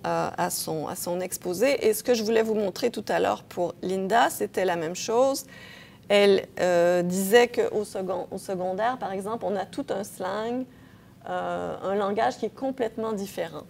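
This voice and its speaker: A woman's voice: mid-range voice, vocal fry, a little mellow, slight background noise. A woman professor giving an academic lecture, explaining patiently with frequent hesitations and checks of her audience. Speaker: linguistics professor.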